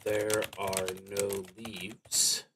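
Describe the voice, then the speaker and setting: A man streaming a solo tabletop roleplaying game, reading prompts and thinking aloud in a halting, hesitant way that trails off. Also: lowish voice, little pitch movement, noisy background.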